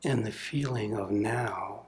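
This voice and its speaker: A man leading a guided meditation as a spiritual teacher, speaking softly and deliberately in measured phrases with long pauses, often breathy and trailing toward a whisper. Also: lowish voice, rough recording. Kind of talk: guided meditation, contemplative satsang talk.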